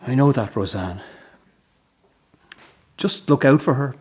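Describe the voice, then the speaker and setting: A man's voice: mid-range voice, expressive, slow, darkish tone, slightly rough. A middle-aged husband and father in a radio play voiced by a man, worn down and full of regret. He talks in a subdued, resigned way, carrying old hurt. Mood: weary, worried. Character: weary, wounded estranged husband and father, regretful. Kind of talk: radio drama acting.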